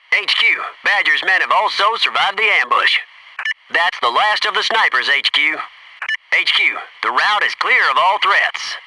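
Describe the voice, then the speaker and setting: An actor playing an alert, loyal Navy SEAL squad soldier in a military shooter game, giving clipped reports and dry remarks. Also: male voice, a little high, recorded from a distance, background noise, lightly acted.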